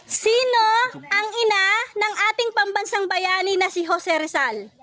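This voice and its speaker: Very high-pitched Filipino woman quiz host. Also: a little slow, bright, forceful tone. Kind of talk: reading out trivia questions to contestants.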